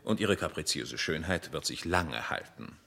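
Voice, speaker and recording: An actor playing a worldly, cynical gentleman in a radio drama, suave and patronizing. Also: extremely low pitch, lively intonation, moderate pace, creaky, exaggerated voice. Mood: cynical advising.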